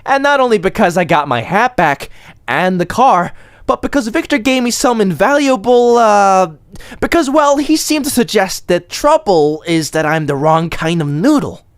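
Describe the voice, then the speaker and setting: A man voicing an excitable, scatterbrained young male cat with a florid, rambling, theatrical delivery in a comic dub. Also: sits high, moderate pace, no room sound. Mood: flustered, eager. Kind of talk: animated comic dub acting.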